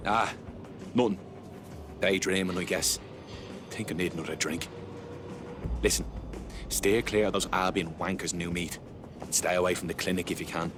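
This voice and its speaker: An actor playing a jaded, sardonic, hard-drinking camp detainee in a video game. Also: male voice, sits low, steady tone, normal speed, pauses often, close to an everyday voice. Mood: resigned. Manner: sardonic, world-weary, slangy. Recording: music behind.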